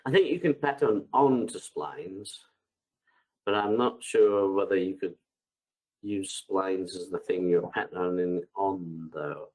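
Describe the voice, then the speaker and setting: A man with a very low voice, thick and stuffy from a heavy cold, answering audience questions live over a headset. He reads each question out, then replies loosely with plenty of ums and restarts. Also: takes it slowly, darkish tone, low-quality recording. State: congested from a bad cold. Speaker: product expert answering webinar questions. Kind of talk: live webinar Q&A, unscripted. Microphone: headset on a video call.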